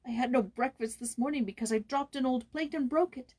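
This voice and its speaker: Woman as a timid, high-voiced frightened little boy. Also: fairly quick. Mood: fearful, pleading. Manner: tremulous, sometimes dropping to a hushed whisper. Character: frightened, mistreated young boy, timid and sorrowful.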